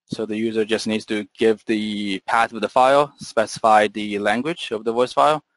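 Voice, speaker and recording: A man giving an explanatory software webinar presentation over slides, in a measured, businesslike manner as the product presenter. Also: on the low side, steady tone, no echo.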